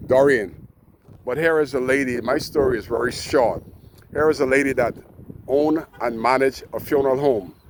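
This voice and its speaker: Casting: Bahamian male local resident, mid-range voice, emphatic and indignant speaking out, recorded outdoors with noise behind. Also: medium pitch. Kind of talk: impassioned political commentary to camera. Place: outdoors at a graveyard.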